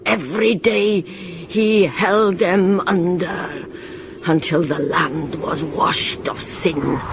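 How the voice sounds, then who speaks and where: A woman narrating a ghost legend in a hushed, rhythmic, ominous way. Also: lowish voice, steady tone, takes it slowly, vocal fry, background noise. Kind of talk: slow dramatic storytelling.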